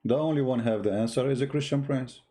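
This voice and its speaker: Mellow man, mocking and challenging. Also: medium pitch, fast. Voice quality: non-native English grammar. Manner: mocking, challenging, repeats phrases and rhetorical questions. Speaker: live-stream host, religious polemicist. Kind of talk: informal argumentative live-stream monologue.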